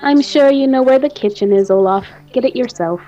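A high but mellow-voiced madwoman played by a woman, formal and imperious, in gothic radio drama. The recording is slightly dull. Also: a little fast, soft background music, lightly acted. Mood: polite, controlled. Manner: formal, theatrical. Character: imperious, obsessive mad woman scientist, doting and cold. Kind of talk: gothic radio play acting. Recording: dull, muffled home recording.

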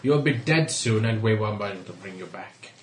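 A man's voice: lowish voice, expressive, a little fast. A man with a Yorkshire accent playing a tabletop game and making dry, wry remarks. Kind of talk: casual chat at a game table. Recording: distant roomy mic with faint noise.

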